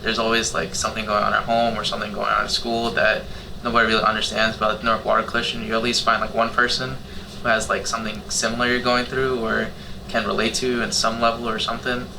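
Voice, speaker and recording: Young man, late teens, gravelly, even delivery, hesitant casual talk, clear background noise. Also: fairly low pitch, fast. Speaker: youth volunteer organizer.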